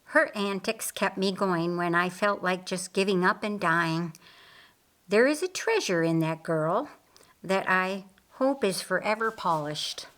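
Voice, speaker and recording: A woman voicing a kindly, reflective master of a house in an audiobook story, earnest and deliberate. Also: fairly low pitch, expressive, fairly bright tone. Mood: fond, grateful.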